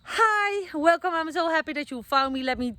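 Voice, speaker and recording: A woman's voice: high-pitched, animated, normal speed, pushed and bright, no room sound. Chatty Dutch woman vlogging outdoors. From Netherlands. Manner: chatty, self-correcting, many fillers. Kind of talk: casual talk to camera. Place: outdoors, garden with trees being planted.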